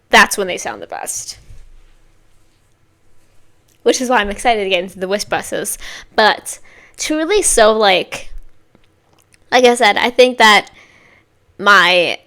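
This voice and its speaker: A woman hosting a music reaction video, talking casually in rambling, filler-heavy sentences with bursts of excitement. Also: on the high side, slow, pauses often. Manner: chatty, rambling, many likes and you-know-what-I-means.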